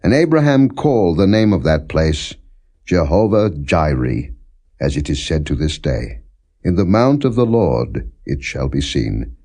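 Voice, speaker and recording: Male scripture narrator: deep, soft-edged tone, solemn and deliberate, plain scripted reading without character voices. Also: sing-song. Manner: solemn, measured, deliberate phrasing. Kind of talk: non-dramatized scripted Bible reading.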